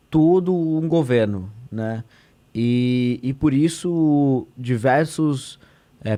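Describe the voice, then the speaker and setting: A man's voice: mid-range voice, darkish tone. A man, a state deputy talking as a podcast guest, explaining in an earnest, conversational way with many fillers and restarts.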